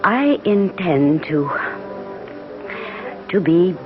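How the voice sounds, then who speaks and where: An actress playing a teasing, anxious young fiancée in a 1970s radio drama, emphatic and knowing. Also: female voice, lowish voice, lively intonation, very slow. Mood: insistent, patient. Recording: dull 1970s radio broadcast, music behind.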